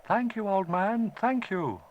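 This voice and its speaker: A man's voice: sits high, animated, slow, muffled recording, slight background noise, voice pushed up. A man voicing a small, brave boy who is anxious but plucky in a storybook. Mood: eager.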